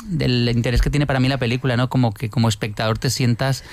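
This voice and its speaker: A man's voice: mid-range voice, fairly even intonation, quick pace. A man, a film director, talking reflectively in an interview with frequent restarts and tag questions. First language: not Catalan; works in another language. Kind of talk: conversational interview.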